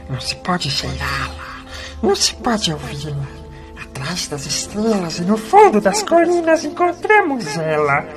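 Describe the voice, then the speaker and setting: A woman playing a sly, hungry underground creature in an audio drama, hissing and muttering to itself. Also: mid-range voice. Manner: hissing, muttering, breaks into whispers and cries. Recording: music behind the voice.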